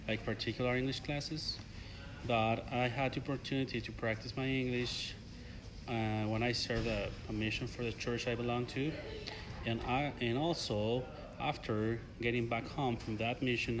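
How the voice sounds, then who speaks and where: A young man from Mexico with a Mexican Spanish accent, a native Spanish speaker answering interview questions in a halting way with fillers and restarts. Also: medium pitch, noisy background. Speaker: interview guest, ESL learner.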